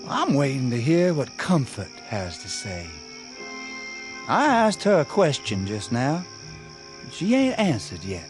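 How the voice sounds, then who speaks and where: A defiant young country fellow with a rural Southern drawl. He speaks slowly and plainly, his pitch swinging widely, stubborn and folksy, over prominent music in a radio play. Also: wildly swooping pitch. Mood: patient. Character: defiant young country man suspected of being undead. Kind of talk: radio drama acting.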